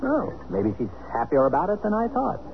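Jaunty American businessman, very animated pitch, easily flustered, radio drama, dull old recording with faint noise. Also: moderate pace, full character voice. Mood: frank, casual. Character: jaunty, flustered businessman and estranged husband, former college athlete.

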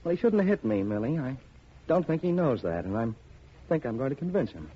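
A man's voice: medium pitch, big pitch swings, brisk, breathy edge. An actor playing a meek, polite, hesitant repairman in a 1950s radio drama. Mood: aggrieved, hesitant. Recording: muffled, noisy old radio recording.

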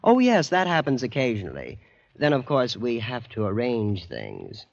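An actor playing a blasé, boastful young American heir in a vintage radio drama, nonchalant and smug. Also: male voice, mid-range voice, huge pitch swings, normal speed, creaky, dry recording, full character voice. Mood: smug, casual. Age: young adult. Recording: muffled vintage radio recording.

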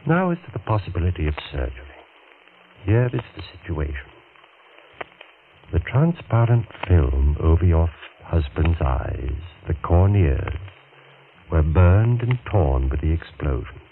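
An actor playing a vindictive, scheming male surgeon in a 1940s radio drama, measured and insinuating. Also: deep voice, sing-song, exaggerated voice. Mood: persuasive. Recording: tinny old radio broadcast with faint hiss.

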